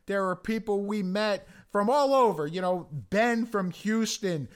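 Hoarse high-voiced American man. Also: measured pace. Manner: earnest, many restarts and you-knows. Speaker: podcast co-host. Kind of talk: casual sports chat.

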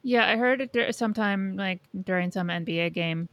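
Podcast co-host, woman. Low-key, informal, a bit tired and under the weather, recorded dry. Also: brisk, a bit bright, dry recording. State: a little sleepy and under the weather. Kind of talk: casual podcast chat.

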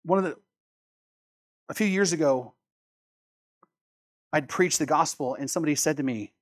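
A man preaching a sermon as a pastor, reading scripture and repeating key phrases, with emphatic delivery that moves between quieter asides and loud stressed words. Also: on the high side, normal speed.

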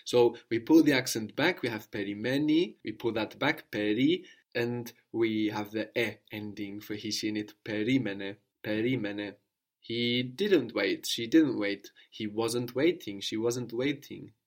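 A man's voice: on the low side, unhurried. A man teaching a language lesson, explaining patiently and prompting his student with questions.

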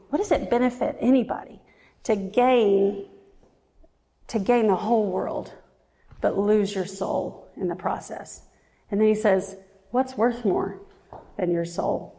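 Woman in her forties, sermon, reflective, slightly gravelly voice, reverberant hall. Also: medium pitch, darkish tone. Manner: earnest, reflective, slows down for emphasis. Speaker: preacher. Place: church.

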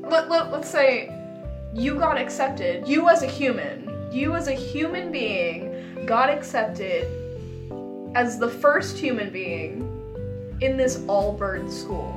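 A woman chatting casually and comedically as a podcast co-host, giggly, animated and prone to swearing. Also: fairly high pitch, slow pace, over music.

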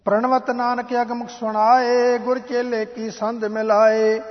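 A man singing devotional scripture in a slow, drawn-out sung recitation. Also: very high voice, flat delivery. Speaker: hymn singer. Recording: dull lo-fi recording with long reverb.

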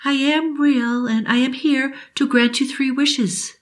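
A kind, plump old genie woman who grants wishes in a slow, solemn voice, as a woman puts her on in a storybook. She sits higher than the narrator, with a gentle, stately calm.

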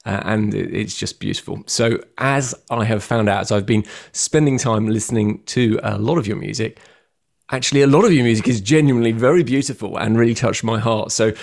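Gravelly radio host, effusive. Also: male voice, on the low side, brisk. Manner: warm, effusive, many fillers and restarts. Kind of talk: radio interview.

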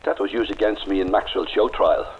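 An actor playing a wary, defiant rebel leader awaiting execution, with a clipped, bitter manner. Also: male voice, low-pitched, brisk, creaky, lo-fi sound, slight background noise. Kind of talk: radio drama acting.

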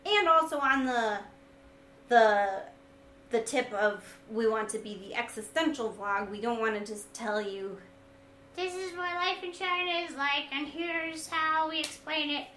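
A woman with a high, sweet, gentle-sounding voice, talking casually and reflectively as a co-host, with a wry, self-deprecating manner. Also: a little slow. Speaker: vlogger and co-host discussing their video series. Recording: echoey room, light background noise.

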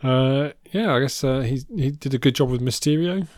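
A man co-hosting a casual film podcast, wry and dry-humoured, with hesitant fillers between quick remarks. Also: mid-range voice, a little mellow, no echo. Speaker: podcast co-host.

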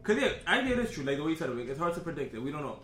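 A man's voice: fairly high pitch, very animated, very fast, a bit bright, faint music behind. A man co-hosting a casual sports talk podcast, emphatic and profane, repeating himself as he cuts in to make his point. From born in the Dominican Republic, raised in Boston. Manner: emphatic, repetitive, profane, interjecting. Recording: roomy, echoey room.

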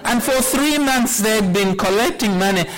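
A man in his sixties preaching a sermon, telling a personal story in a measured way with wry humor. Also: high-pitched, expressive, fairly bright tone. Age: 60s. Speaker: pastor.

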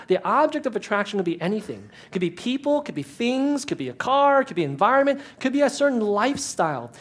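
A man's voice: high-pitched, breathy edge. A man in ministry giving a conversational talk to an audience, chatty and self-deprecating, with asides and many restarts.

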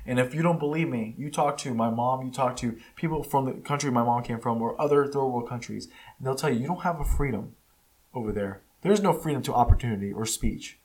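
A man's voice: mid-range voice, a little fast, a little mellow, low-quality recording. Blunt man with frequent restarts. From United States. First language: Spanish. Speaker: podcast host. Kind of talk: casual opinion monologue. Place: California.

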